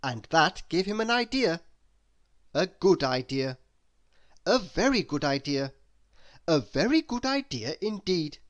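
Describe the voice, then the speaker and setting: A man reading a children's story aloud in a deliberate, expressive way, leaning on key words. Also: fairly high pitch. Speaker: storybook reader.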